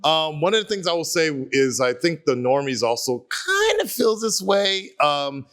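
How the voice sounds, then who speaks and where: A man's voice: a little high, very animated, moderate pace, fairly bright tone. Easygoing man chatting on a panel. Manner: easygoing, fills with "um". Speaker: content creator, panel guest. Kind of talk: casual panel chat.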